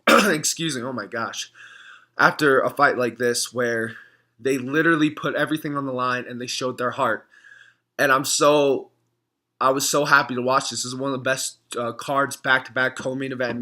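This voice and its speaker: A young man with a hoarse voice, talking casually to camera in a reaction vlog, rambling, clearing his throat often. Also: medium pitch, bright, forceful tone. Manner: rambling, frequent throat clearing and filler words. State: hoarse from screaming. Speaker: MMA fan vlogger.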